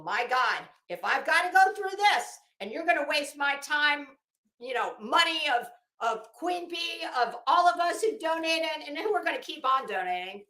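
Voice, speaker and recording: A woman giving a casual, blunt, profane commentary to viewers, speaking emphatically and haltingly with drawn-out words. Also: very high-pitched, fairly bright tone. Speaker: online commentary host. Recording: roomy echo.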